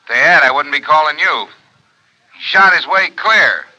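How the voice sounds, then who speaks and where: Casting: businesslike insurance executive, old radio drama, very sharp forceful tone, slightly high pitch. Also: male voice, animated, moderate pace, no room sound, highs cut off. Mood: businesslike, urgent. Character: businesslike, worried insurance company man phoning his investigator. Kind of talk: 1950s radio drama acting.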